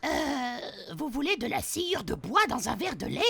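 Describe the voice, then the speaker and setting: A man voicing a dim, hesitant, confused goblin with a raspy croak in an animated comedy dub. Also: extremely high pitch, unhurried, voice pushed up, exaggerated voice.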